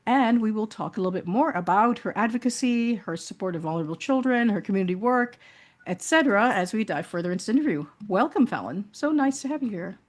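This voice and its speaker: A woman's voice: medium pitch, lively intonation, fast talker. Chatty woman talk show host. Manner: warm-hearted, chatty, with many fillers. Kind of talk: interview hosting.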